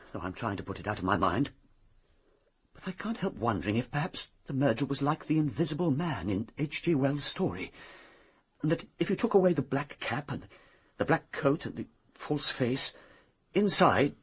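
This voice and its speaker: Earnest secretary, radio mystery, medium pitch, husky, animated intonation, hesitant. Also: male voice. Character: sceptical, hesitant male secretary to a professor. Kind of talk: radio mystery drama acting.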